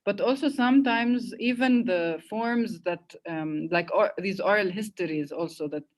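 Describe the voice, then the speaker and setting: A woman's voice: mid-range voice, unhurried. Hesitant, reflective woman host. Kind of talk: casual online conversation.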